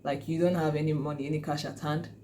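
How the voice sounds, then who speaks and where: A woman's voice: sits low, little pitch movement, fast talker, mellow, a little hiss or hum. A Nigerian woman talking casually to her viewers, chatty and laughing often, with many fillers. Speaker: vlogger. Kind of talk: casual talk to camera.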